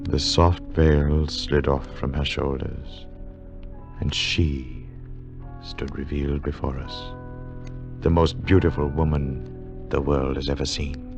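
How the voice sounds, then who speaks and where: A man's voice: rumbling low, flat delivery, slow pace, full character voice. An actor playing a scholarly, awestruck adventurer who tells his tale earnestly and dramatically in 1940s radio style. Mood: awed narration. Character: male scholarly adventurer narrating, sceptical and awestruck. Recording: dull 1940s radio recording with music.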